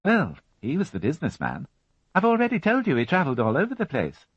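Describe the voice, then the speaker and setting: A man's voice: on the high side, huge pitch swings, quick pace, slightly rough, no room sound, pitched above the performer's own voice, heavily acted. A man voicing a fussy, prim middle-aged housewife who chatters and turns indignant, in a storybook reading. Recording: dull, dry studio audiobook.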